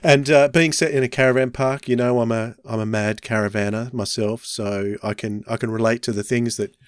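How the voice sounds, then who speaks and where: A man's voice: mid-range voice, steady tone, brisk, clear, resonant tone, no room sound, clean studio sound. An Australian man hosting a podcast in casual conversation, thinking aloud as he talks. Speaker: podcast host. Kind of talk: casual chat.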